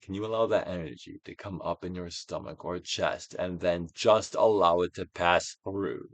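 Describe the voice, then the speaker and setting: A man giving a dramatic, theatrical reading of a self-help book as a guided exercise, with deliberate, swelling and falling emphasis. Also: low-pitched, steady tone, dry recording. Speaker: narrator, audiobook reader.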